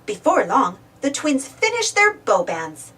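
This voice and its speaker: A woman reads a children's storybook aloud at a slow pace, with a high voice and very animated intonation. She is recorded slightly away from the mic. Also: distant mic, a little hiss or hum. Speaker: storybook narrator. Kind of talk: scripted children's read-aloud narration.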